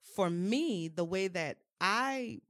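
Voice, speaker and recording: A woman's voice: mid-range voice, expressive, slow, dry recording, studio quality. A woman from New Orleans, talking openly in a personal conversation, with frequent "um"s and "you know"s. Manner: open and frank, many "um"s and "you know"s.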